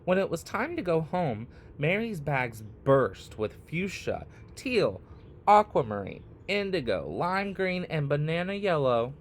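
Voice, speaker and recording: A man reading a picture book aloud expressively, in short phrases with deliberate, dramatic pauses. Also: a little high, very animated, a little hiss or hum. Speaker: read-aloud storyteller.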